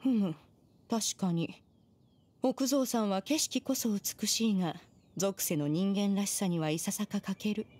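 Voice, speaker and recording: A woman's voice: medium pitch, measured pace, darkish tone, natural voice. A woman playing a proud, aloof immortal master in an anime game, speaking in imperious, formal, archaic language. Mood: haughty, composed. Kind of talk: Japanese anime game voice acting.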